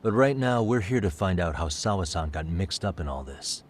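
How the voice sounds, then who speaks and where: An actor playing a composed, persistent private detective, calmly and politely probing in a video game cutscene. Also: male voice, sits low, a little hiss or hum. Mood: probing, measured. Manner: calm, measured, politely probing questioning. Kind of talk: English-dubbed video game cutscene acting.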